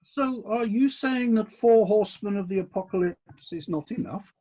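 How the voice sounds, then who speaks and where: An actor playing an exacting, fair-minded celestial boss in a satirical radio play, speaking in a measured, questioning and politely insistent way. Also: medium pitch, dark tone, muffled recording, close to an everyday voice.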